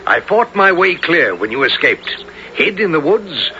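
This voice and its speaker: An actor playing a loyal, hearty medieval outlaw comrade in a radio drama, with theatrical archaic diction. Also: male voice, fairly high pitch, sing-song, unhurried, pushed and bright, exaggerated voice. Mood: proud. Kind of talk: 1970s radio drama acting. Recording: dull radio recording, faint noise.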